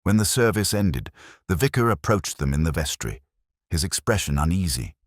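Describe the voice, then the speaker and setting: A man reading a scripted detective story as narrator, in a measured literary manner. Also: low-pitched, very animated, no echo, studio quality.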